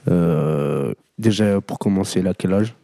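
Male interviewer, low-pitched, dark tone. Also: a little slow, gravelly, dry recording, clean studio sound. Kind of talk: asking interview questions.

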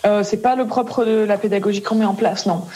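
A woman's voice: mid-range voice, steady tone, fairly quick, dark tone, slightly rough. A French woman being interviewed as a parent, explaining casually in colloquial speech that often trails off into tag words.

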